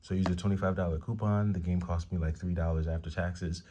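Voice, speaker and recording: Hushed deep-voiced man showing off games. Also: level tone, fast, background noise. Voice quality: deep rumbling voice. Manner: quiet, hushed talk to avoid waking the family. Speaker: retro game collector vlogger. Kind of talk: casual haul show-and-tell. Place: room at home at night.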